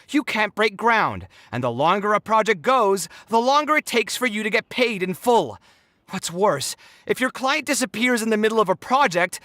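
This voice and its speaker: A man's voice: high voice, sharp tone, no echo. An actor playing an idealistic young male architect in a video game, earnest, exasperated and reproachful. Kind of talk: dramatic video game voice acting.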